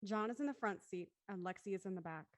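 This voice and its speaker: A woman's voice: medium pitch, fast talker. Female narrator for a scripted play, mid-range voice, reading scene directions.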